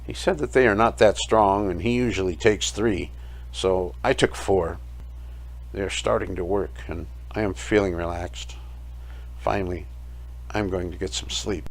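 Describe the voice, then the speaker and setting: A man playing a weary, sleepless night-shift guard, telling his story as a measured dramatic monologue in an audio play. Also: sits low. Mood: weary, confiding. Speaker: narrator of a short audio play. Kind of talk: scripted first-person dramatic monologue.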